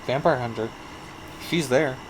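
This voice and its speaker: A man's voice: medium pitch, a little slow, background noise. Laid-back man listing film credits. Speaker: podcast co-host. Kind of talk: casual movie review chat.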